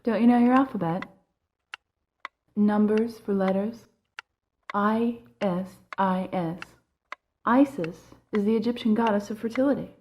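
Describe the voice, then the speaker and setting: A woman playing a dignified, grieving middle-aged mother and widow in an audio drama, earnest and quick to reproach. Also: mid-range voice, a little mellow, a little hiss or hum, natural voice. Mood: thoughtful. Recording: music behind the voice.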